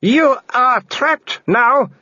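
A man voicing an imperious older aristocratic werewolf woman with a shrill, drawn-out delivery. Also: expressive, pushed and bright, no room sound, muffled recording, exaggerated voice. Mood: furious. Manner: shrill, drawn-out, screaming in rage.

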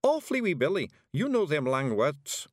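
A man voicing a fierce, blustering tiny fairy warrior chief, loud and gruff. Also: on the high side, huge pitch swings, normal speed, no echo, studio quality, pitched above the performer's own voice. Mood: gruff pride.

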